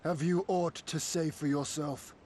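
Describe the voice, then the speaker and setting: A man's voice: a little high, fairly even intonation, measured pace, darkish tone, slight background noise, close to an everyday voice. A man playing a righteous, resolute young lord in a video game, earnest and commanding. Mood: stern accusation. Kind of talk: heroic video game cutscene acting.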